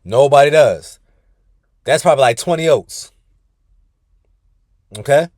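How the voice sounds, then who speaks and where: A man's voice: mid-range voice, animated, unhurried, darkish tone, no echo, clean studio sound. Casual man coaching a group call. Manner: casual, folksy, often addresses the group as "y'all". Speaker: weight-loss coach. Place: inside his parked car.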